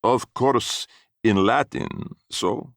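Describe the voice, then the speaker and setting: A pompous old immigrant gentleman, as a man puts him on, lifting his voice above the reader's own and dragging out each phrase. He speaks with broken word order, booming like he is reciting. The recording is close and dry. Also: vocal fry, no echo. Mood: declaiming. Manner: broken word order, declaiming, heavy slow emphasis.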